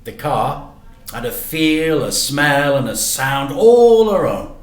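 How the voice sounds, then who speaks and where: A man reading a children's story aloud in an animated, deliberate way with dramatic emphasis. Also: fairly high pitch. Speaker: teacher reading stories to children. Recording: echoey room.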